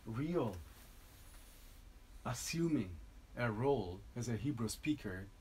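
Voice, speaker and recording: Man explaining his piece haltingly. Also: medium pitch, lively intonation, darkish tone, a little hiss or hum. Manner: halting, many restarts and tag "no?". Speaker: composer presenting a piece. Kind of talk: informal talk to an audience.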